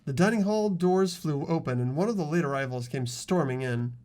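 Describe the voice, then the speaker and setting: An expressive playthrough host narrating and reading out a visual novel game. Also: medium pitch, a little fast.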